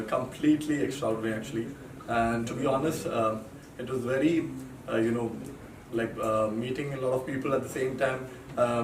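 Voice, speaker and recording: Fast-talking hesitant young man. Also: medium pitch, echoey room, far from the mic, background noise. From Kerala. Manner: hesitant, filler-heavy. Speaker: pageant finalist.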